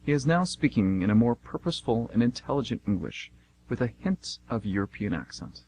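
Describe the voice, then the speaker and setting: A man's voice: medium pitch, big pitch swings, brisk, a little mellow, rough recording. A man reading scripted narration for an amateur radio play.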